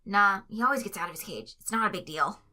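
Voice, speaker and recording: A woman acts out an unemotional cartoon teenage boy. He is calm and laconic, delivering his words deadpan without any fuss. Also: medium pitch, fast talker. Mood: calm, unbothered. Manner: flat, deadpan, unbothered.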